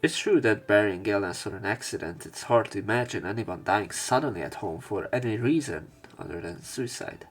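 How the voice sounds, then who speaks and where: A man's voice: lowish voice, fairly quick, hardly any pauses. A man reading a visual novel aloud as a let's player, mixing narration with casual, crude commentary. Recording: background game music. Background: game music.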